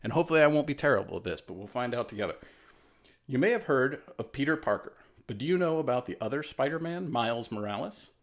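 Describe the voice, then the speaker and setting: A man's voice: mid-range voice, animated, fast talker. An American man, a writer reading his own children's story aloud in a casual, self-effacing way with chatty explanatory asides.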